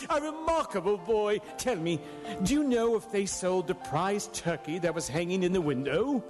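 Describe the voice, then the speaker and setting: Exuberant old reformed miser, very high swooping voice, stammering, radio play in a reverberant hall. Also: male voice, animated, moderate pace. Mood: excited, delighted. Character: elderly miser turned giddy, joyful and generous. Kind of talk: live radio drama acting. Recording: live stage radio broadcast, long hall reverb. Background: music.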